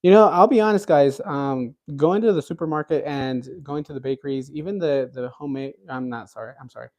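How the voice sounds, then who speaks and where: Man, thirties, livestream cooking host, rambling casual chat, mellow warm voice. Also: a little high, fairly quick. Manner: rambling, many fillers and restarts. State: recovering from a cold.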